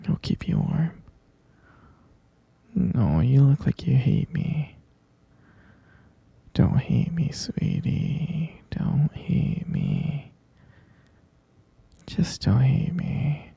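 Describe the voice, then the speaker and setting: A man's voice: medium pitch, expressive, drawn-out words, vocal fry, pauses often, breathy edge, no room sound, heavily acted. A man playing a whispering possessive yandere captor. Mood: coaxing. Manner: soft, clingy, soothing whisper with creepy insistence. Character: obsessive yandere male kidnapper, sweetly possessive. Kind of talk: ASMR roleplay.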